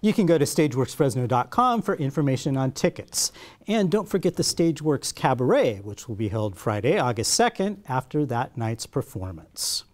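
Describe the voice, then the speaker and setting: A man's voice: mid-range voice, very animated, normal speed. A man hosting a local arts interview show, introducing guests in a friendly, conversational way. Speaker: host.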